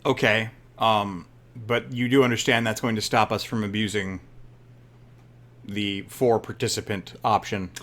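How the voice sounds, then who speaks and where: A man's voice: on the low side, fairly even intonation, measured pace, fairly bright tone. A man co-hosting a casual chat while playing a wrestling booking game, easygoing and thinking aloud.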